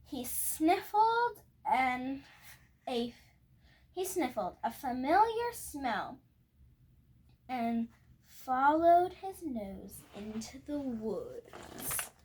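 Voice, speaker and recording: A young girl reading a picture book aloud expressively, with halting moments and chatty asides to her viewers. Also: sits high, big pitch swings. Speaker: storybook reader.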